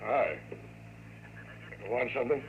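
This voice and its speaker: A man's voice: medium pitch, expressive, a little slow, natural voice. Man acting a nervous janitor, muffled old radio. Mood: evasive. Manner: slangy, hesitant, defensive. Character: evasive, nervous male janitor, easily cowed lowlife. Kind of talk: 1950s radio drama acting. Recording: muffled, hissy vintage radio recording.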